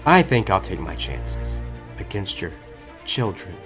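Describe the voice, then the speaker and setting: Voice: sits low, big pitch swings, unhurried, over music. An actor playing an arrogant, mocking, pompous shadowy villain in a fan audio drama. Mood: cold, threatening.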